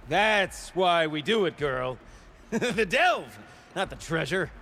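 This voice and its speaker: A man's voice: a little high, expressive, takes it slowly, pushed and bright, slight background noise, full character voice. An actor playing an exuberant, grandiose male ruin delver who talks like a rambling storyteller in a video game cutscene. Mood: jovial, boastful. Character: exuberant, theatrical male adventurer who delves ruins for relics.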